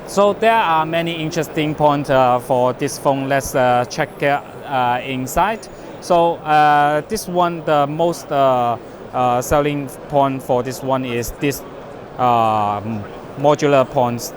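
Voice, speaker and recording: A man who is not a native English speaker gives an unscripted product demonstration as a company representative, speaking haltingly with broken phrasing. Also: medium pitch. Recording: noisy trade show floor.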